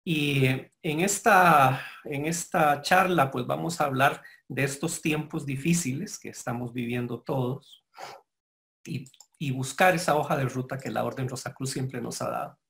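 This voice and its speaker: A man delivers a formal lecture in a mid-range voice. He speaks in a measured, explanatory way, pausing now and then and correcting himself as he goes. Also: medium pitch, gravelly, fairly bright tone. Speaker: guest lecturer.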